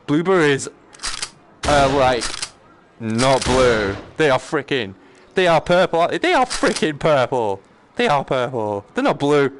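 A man's voice: mid-range voice, very animated, measured pace. A man chatting casually on a live game stream, joking in a slangy, sweary way. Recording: clear background noise.

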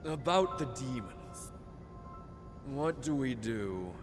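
Unsure army grunt, slow and hesitant, loud game music behind. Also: male voice, mid-range voice, expressive. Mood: dazed, doubtful.

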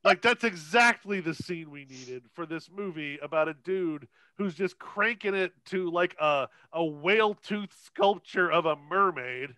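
Male podcast co-host, bright and dry-recorded, irreverent, foul-mouthed, off-the-cuff. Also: a little high, lively intonation, measured pace, few pauses, clean tone, no echo. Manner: profane, irreverent. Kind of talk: casual, unscripted film discussion.

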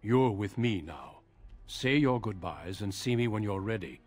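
Deep, solemn warrior. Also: male voice, lively intonation, darkish tone, faint music behind. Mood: resolute. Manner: formal, measured, authoritative. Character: composed, resolute middle-aged warrior, solemn and formal.

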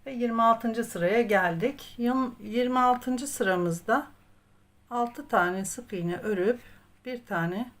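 A woman's voice: on the high side, slow. A woman narrating a step-by-step craft tutorial in a patient, methodical way, as the host. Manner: patient, methodical instruction, counting aloud.

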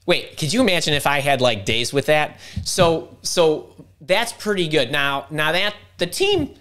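A middle-aged man hosting a live career workshop over a video call, talking fast and interrupting himself as he thinks aloud. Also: on the high side, very animated, pushed and bright. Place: home room indoors. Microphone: video call webcam.

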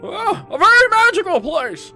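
A let's player puts on a theatrical king from a game, jolly and bossy. He calls out in bright exclamations with stretched words, leaping up and down in pitch. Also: sing-song, normal speed, soft background music, exaggerated voice. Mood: jolly, excitable.

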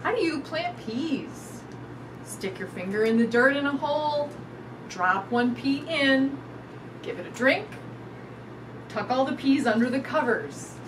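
A middle-aged woman reading a picture book aloud to young children in an animated, sing-song way. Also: high voice, a little slow, long pauses. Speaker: storytime reader. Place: indoors in front of a curtain backdrop. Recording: distant mic with clear background noise.

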